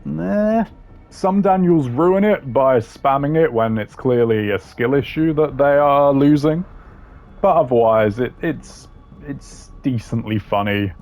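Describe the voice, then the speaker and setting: A man's voice: mid-range voice, unhurried, a little mellow, muffled recording, soft background music. A man giving casual, slangy tier-list commentary in a blunt, opinionated way. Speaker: commentator ranking game voice lines.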